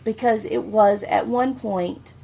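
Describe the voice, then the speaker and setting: A woman's voice: medium pitch, takes it slowly, vocal fry, warm tone, slightly rough, rough recording. A woman co-hosting a podcast, talking frankly and laughing often. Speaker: podcast co-host. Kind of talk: casual podcast chat.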